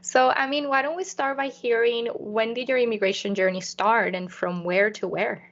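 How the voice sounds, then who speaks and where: A woman's voice: on the high side, steady tone, moderate pace, fairly bright tone, highs cut off. A woman hosting a podcast in an enthusiastic, effusive, conversational way. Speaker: podcast host. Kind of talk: conversational podcast presenting and interviewing.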